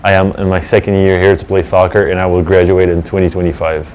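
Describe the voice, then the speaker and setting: A man in his twenties from Canada gives relaxed, conversational interview answers. His voice is low and fairly flat in pitch, with faint noise around him. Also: fast. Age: 20s. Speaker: student soccer player.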